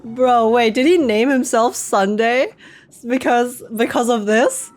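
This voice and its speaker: A woman's voice: fairly high pitch, slow pace, a little mellow, faint music behind. A woman giving casual, slangy, joking reaction commentary as a game streamer. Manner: slangy, joking, frequently chuckling.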